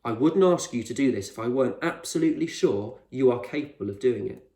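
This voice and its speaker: A man voicing an eccentric, proud male explorer in a storybook, speaking with measured authority and reassurance. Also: mid-range voice, expressive, mellow, natural voice. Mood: reassuring. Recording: roomy echo, distant microphone.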